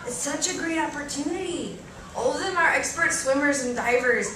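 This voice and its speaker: Woman playing coaxing storybook aunt, insistent, reassuring, lifted pitch, far from the mic in a reverberant room. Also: normal speed, close to an everyday voice. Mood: prodding. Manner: prodding, reassuring. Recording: echoey room, distant mic, faint noise.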